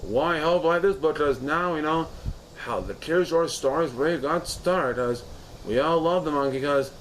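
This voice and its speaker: A man reading a children's story aloud in an expressive, animated style with dramatic pauses. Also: a little high, a little hiss or hum, poor audio.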